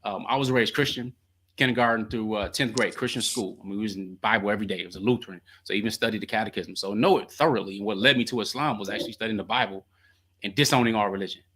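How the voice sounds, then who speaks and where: Guy coaching callers on a call-in show, talks fast and bright, animated and slangy. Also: lowish voice, slightly rough, low-quality recording. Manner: animated, laughing, slangy storytelling. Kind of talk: call-in advice talk.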